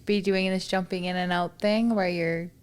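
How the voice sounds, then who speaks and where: A woman's voice: lowish voice, fairly even intonation, normal speed, clean tone. A woman in her twenties from Manitoba, Canada, a podcast guest talking casually, hesitant with many fillers and frequent giggles. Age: 20s. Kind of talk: casual interview chat.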